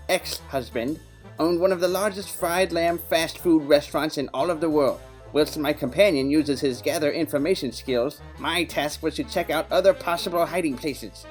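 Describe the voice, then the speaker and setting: An actor playing a quirky, earnest detective who narrates an audio play in matter-of-fact, nerdy game jargon. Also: male voice, a little high, close to an everyday voice. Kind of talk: scripted first-person audio play narration.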